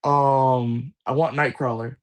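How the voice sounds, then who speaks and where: Guy chatting casually, mid voice, halting and rambly. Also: medium pitch, steady tone. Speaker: co-host. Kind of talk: casual chat.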